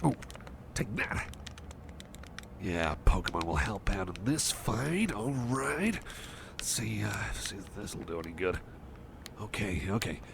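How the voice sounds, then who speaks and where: Man playing a shy, stammering, low-voiced cyborg pal. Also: sing-song, slow pace, creaky, long pauses, noisy background, heavily acted. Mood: playful, excited. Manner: hesitant, stammering, repeats phrases, checks on listener. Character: shy, eager, reassurance-seeking male cyborg friend. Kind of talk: ASMR roleplay, personal attention.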